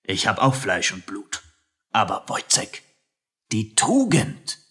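A man's voice: lowish voice, huge pitch swings, fairly bright tone, heavily acted. An actor playing a condescending, self-pitying male army captain in a radio play, drawing out repeated phrases. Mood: patronising, self-pitying. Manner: patronising, drawn-out repetitions.

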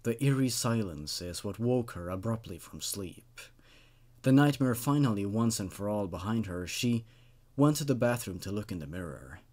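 A man's voice: fairly low pitch, lively intonation, normal speed, a little mellow. Young man presenting horror stories. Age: 20s. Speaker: host reading and reviewing horror stories. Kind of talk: dramatic story reading and casual commentary.

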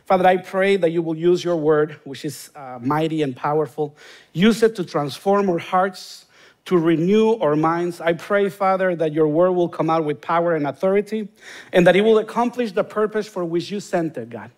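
A man's voice: high voice, moderate pace, a little mellow. A man in his forties from Honduras with a Honduran Spanish accent, a guest pastor preaching a sermon and praying, earnest and rising to emphatic bursts. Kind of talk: sermon with prayer. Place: large church stage, indoors.

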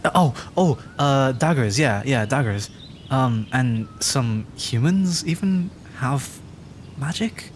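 A man's voice: mid-range voice, expressive, takes it slowly, slight background noise. A man voicing a timid, adorkable young dragon in a roleplay audio drama, stammering, hesitant and rambling. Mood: shy, curious.

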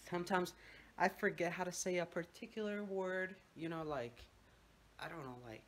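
A man's voice: high-pitched, moderate pace, a bit bright, slight background noise. A young man with a Mexican Spanish accent, whose first language is Spanish, rambles casually in a vlog. He swears offhandedly and drops in Spanish words while holding his phone at arm's length at home. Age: young adult. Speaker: vlogger. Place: home bathroom and bedroom. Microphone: phone held at arm's length.

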